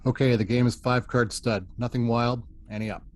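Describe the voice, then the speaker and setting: Confident, brash male officer dealing cards, read by a man, medium pitch, amateur sci-fi drama. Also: fairly even intonation, moderate pace, lightly acted. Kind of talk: amateur table read of TV script.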